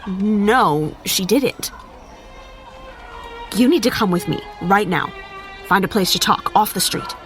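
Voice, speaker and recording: An actress playing a cautious, probing young hotel worker in an audio drama. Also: female voice, mid-range voice, expressive, slow, long pauses, fairly bright tone, faint music behind. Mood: guarded. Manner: cautious, questioning.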